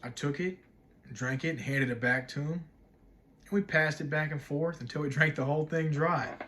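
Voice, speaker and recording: Man performing as a wistful narrator, dramatic monologue, slightly high male voice, reflective, measured phrasing, haunted by memory. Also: a bit bright, natural voice. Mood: wistful, hushed. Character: wistful man haunted by a childhood night of love.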